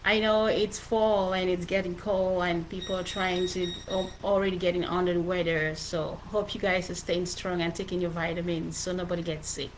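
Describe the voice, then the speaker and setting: A woman's voice: fairly low pitch, flat delivery, moderate pace, few pauses. Earnest Cameroonian-accented woman, noisy recording. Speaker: author presenting her book. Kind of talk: informal motivational livestream talk.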